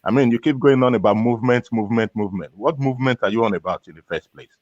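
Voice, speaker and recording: Man, medium pitch, dull lo-fi sound, confrontational, repetitive, insistent questioning. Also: quick pace, darkish tone, no room sound. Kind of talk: confrontational debate.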